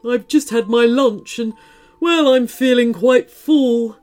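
A woman's voice: a little high, takes it slowly, darkish tone, faint music behind, pitched above the performer's own voice. A woman voicing a wry, teasing but helpful saber-toothed tiger in a children's story, with a knowing, musing drawl. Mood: sated, amused.

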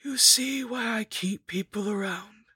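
A woman's voice: a little high, unhurried, pushed and bright, husky, dry recording, clean studio sound, pitched above the performer's own voice, exaggerated voice. A woman voicing an ancient undead sorceress in an audiobook, cruel and gloating, mock-sweet and menacing.

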